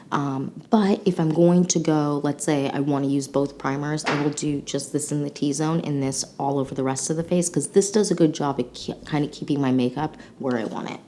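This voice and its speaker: Low, mellow, nasal woman chatting enthusiastically. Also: a little hiss or hum. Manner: gushing, enthusiastic. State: slightly sick, congested. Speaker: beauty channel host. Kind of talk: casual chatty product review to camera.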